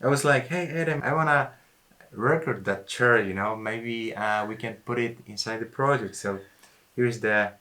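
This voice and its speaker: A man's voice: mid-range voice, normal speed, pushed and bright. A man who produces music, chatting casually and loosely about studio work, often adding "you know".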